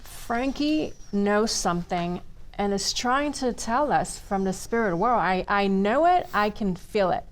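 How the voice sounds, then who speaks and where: A woman's voice: mid-range voice, animated, measured pace, close to an everyday voice. A woman playing an earnest, superstitious gangster's wife who believes in spirits, at a table reading of a TV script.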